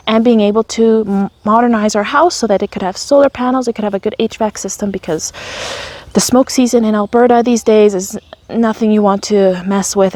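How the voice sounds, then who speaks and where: A woman's voice: medium pitch, darkish tone, no echo. Candid woman telling her story. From Canada. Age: 30s. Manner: candid, wry and conversational. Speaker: vlogger. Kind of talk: personal storytelling.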